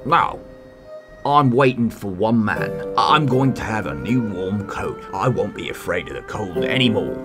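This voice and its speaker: A man voicing a poor old beggar in an animated fairy tale, humble and earnest, trusting and stubborn. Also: on the low side, unhurried. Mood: stubbornly trusting. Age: older. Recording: music under the voice.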